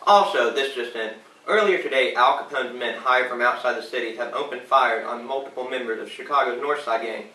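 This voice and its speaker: A man's voice: mid-range voice, fairly quick, few pauses, bright, forceful tone, close to an everyday voice. A man playing an earnest 1920s radio news anchor, reading a scripted bulletin in an amateur period skit. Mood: earnest, newsy. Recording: distant, echoey room with faint noise.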